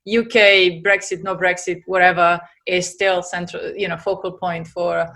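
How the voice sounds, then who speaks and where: A woman in her forties speaks with a Serbian accent, her voice sitting a little low. She talks in a relaxed, conversational way as an interview guest. She often stops on fillers as she hunts for the next word. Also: moderate pace. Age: 40s. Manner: hesitant, many fillers, laughs easily. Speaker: guest entrepreneur. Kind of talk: casual interview chat.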